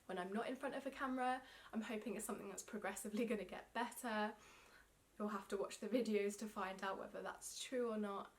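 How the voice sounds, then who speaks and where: A young woman from London speaks to camera in a casual, chatty self-interview. Her London English accent is clear, and she talks in a friendly, slightly awkward way, often pausing with ums. It is recorded indoors in a small room. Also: medium pitch, fairly even intonation. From England. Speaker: knitting vlogger. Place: indoor room with yarn shelves.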